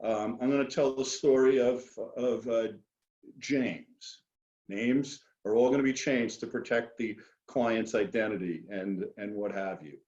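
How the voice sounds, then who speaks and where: A man's voice: medium pitch, unhurried, darkish tone. A man with a New York accent giving an informal financial seminar talk as a guest speaker, with folksy, joking asides. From Queens, New York. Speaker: guest speaker, wealth management expert. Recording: dull lo-fi audio, roomy echo.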